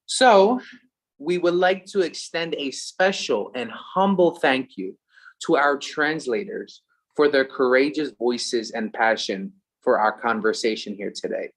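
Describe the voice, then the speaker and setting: A man's voice: mid-range voice, a little slow. Man with a Philadelphia accent hosting. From USA. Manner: warm, humble thanks sprinkled with Spanish phrases. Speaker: co-host of an online event. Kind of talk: scripted hosting with casual asides.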